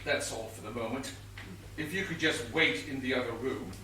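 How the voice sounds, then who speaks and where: Pompous, excitable comedy inspector, male stage actor, bright emphatic delivery, recorded far off in an echoey theatre. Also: mid-range voice, normal speed, natural voice. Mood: probing. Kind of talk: stage comedy murder mystery acting. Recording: roomy distant stage recording, noisy.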